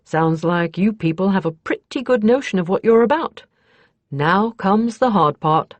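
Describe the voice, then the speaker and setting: A woman voicing a gruff, practical male mercenary in an audiobook, with a blunt, skeptical manner. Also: medium pitch, expressive, a little slow, no echo, lo-fi sound, pitched above the performer's own voice. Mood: satisfied. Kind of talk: audiobook character dialogue.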